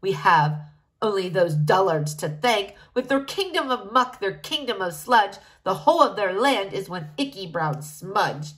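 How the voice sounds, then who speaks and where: A woman's voice: mid-range voice, expressive, a little slow, fairly bright tone. A woman voicing a fussy, snobbish king who scolds his daughter. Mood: disgusted. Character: fussy, snobbish middle-aged male king.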